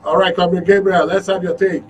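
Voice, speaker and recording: A man hosting a live radio phone-in with brisk short prompts. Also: high voice, flat delivery, recorded from a distance, a little hiss or hum. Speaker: radio show host.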